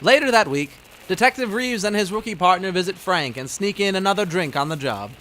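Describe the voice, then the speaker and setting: A grandiose 1920s newsreel-style announcer played by a man, pitched high for a male voice. He narrates a radio serial over faint background noise. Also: animated, a little fast, bright, forceful tone. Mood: grandiose, upbeat. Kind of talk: old-time radio announcing, scripted narration.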